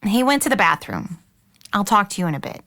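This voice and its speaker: Woman acting tired mother, middle-aged, average pitch, affectionate, gentle, audio drama. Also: very animated, brisk. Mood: weary, affectionate.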